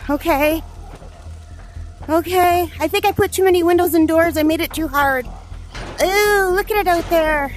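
A woman giving casual, excitable, self-deprecating commentary while playing a game at home. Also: extremely high pitch, normal speed, long pauses. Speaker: gamer, channel host. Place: indoors at home, playing in a headset. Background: faint game music.

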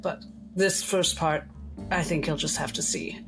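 A shrewd lady doctor, acted by a woman in a tabletop game, speaks in a measured, arch way. She is genteel but commanding, with music behind her voice. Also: low voice, fairly even intonation, poor audio. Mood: brisk. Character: shrewd, genteel female doctor with secret talents.

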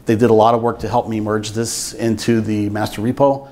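An older man giving an informal technical presentation at a meetup, explaining things in a measured way. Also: lowish voice, little pitch movement, dark tone, a little hiss or hum. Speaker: software engineer giving a talk. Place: meetup room with seated audience, indoors.

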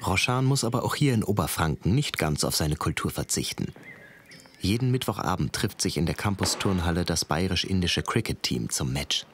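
A man's voice: low voice, lively intonation, normal speed, fairly bright tone, a little hiss or hum. A man reading scripted narration for a television documentary. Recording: music behind the voice. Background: background music.

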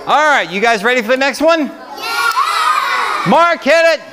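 A middle-aged man hosting a children's music guessing game at a library, calling out loudly and encouragingly like a game-show host. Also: very high voice, lively intonation, moderate pace. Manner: loud, projecting, encouraging game-show-style calls. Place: large carpeted library meeting room. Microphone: handheld microphone, filmed from far camera. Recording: noisy room recording, keyboard music, children clamoring. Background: loud keyboard music and crowd of children.

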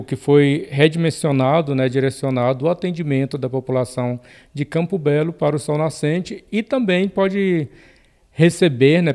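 A Brazilian man, a city health official, giving a measured bureaucratic statement, dropping frequent tag questions. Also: a little high, dark tone. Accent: Brazilian Portuguese. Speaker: municipal health secretary.

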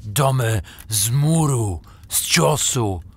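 An actor playing a scheming German trader in an audio drama, with a put-on German accent and a halting, boastful, insinuating manner. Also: male voice, medium pitch, animated, dry recording. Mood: boastful, grand. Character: scheming German trader tempting a Slav into treason.

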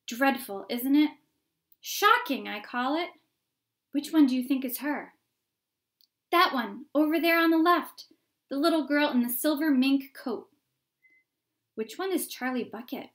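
A woman voicing excited, gossipy storybook onlookers shouting in a crowd, with emphatic exclaiming. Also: high voice, unhurried, pauses often, voice pushed up. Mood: curious, judging. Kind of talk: storybook character voices.

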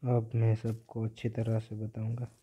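A man's voice: on the low side, monotone, normal speed, dark tone, breathy edge. A man giving casual commentary as a gaming YouTuber while he plays. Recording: dull, lo-fi microphone sound.

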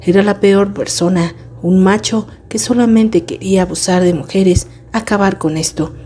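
A woman's voice: medium pitch, normal speed, mellow, a little hiss or hum. A woman reading scripted celebrity gossip news as a narrator.

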